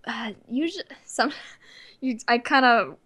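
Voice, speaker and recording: A nineteen-year-old woman chatting casually as an interview guest, with a giggly, animated way of telling stories. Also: on the high side, unhurried. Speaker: guest, amateur voice actor.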